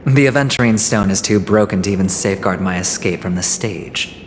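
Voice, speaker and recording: A man voicing a cunning gambler in a video game, speaking with measured, teasing theatricality and an undertone of weariness. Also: on the low side, slight background noise, natural voice. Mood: frank, resigned. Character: cunning male gambler, smooth, theatrical and inwardly weary. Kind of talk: video game story voice acting. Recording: long hall reverb, loud music behind. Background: game music.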